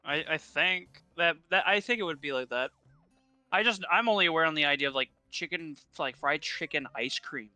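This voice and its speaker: A young man with a bright, forceful voice, chatting loosely over a video game. He laughs a lot and trips over his own sentences. The sound is dry and close, with faint music underneath. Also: on the high side, lively intonation, moderate pace, no room sound. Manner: laughs often, rambling with restarts. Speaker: co-host, friend on the stream. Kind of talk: casual gaming chat.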